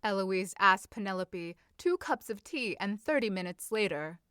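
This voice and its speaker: A woman's voice: medium pitch, lively intonation, normal speed, a bit bright, smooth, no room sound, studio quality. A woman narrating scripted fiction as an audiobook-style storyteller. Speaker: fan fiction podcast narrator.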